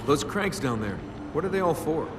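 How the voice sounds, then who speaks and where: An actor playing a cocky, flippant young adventurer in a video game, wry and teasing. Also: male voice, fairly high pitch, lively intonation, moderate pace, noisy background, natural voice. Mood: casual, questioning. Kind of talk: video game cutscene acting. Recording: voice under loud music.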